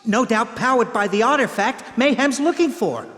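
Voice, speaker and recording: A man's voice: very high voice, expressive, normal speed, fairly bright tone, big-room sound. A man playing an earnest, argumentative archaeologist in a video game, insistent and indignant. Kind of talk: video game cutscene acting.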